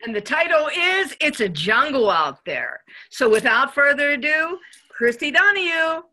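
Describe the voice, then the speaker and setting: A woman hosts casually in a bright, forceful voice. Her pitch sits on the high side and swings in a lively way. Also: measured pace. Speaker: show host. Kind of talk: casual hosting.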